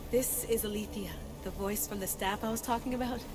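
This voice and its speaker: A woman's voice: medium pitch, steady tone, a little fast, noisy background, close to an everyday voice. An actress playing a determined young female adventurer in a video game, confident and reassuring. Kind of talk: scripted game cutscene acting.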